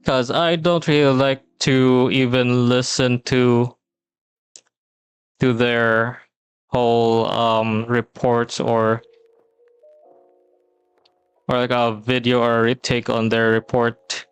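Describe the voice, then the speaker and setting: A young man from the Philippines chatting casually on a drawing stream, relaxed and rambling with many pauses and fillers. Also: medium pitch, smooth, no echo. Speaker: streamer and teacher. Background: soft background music.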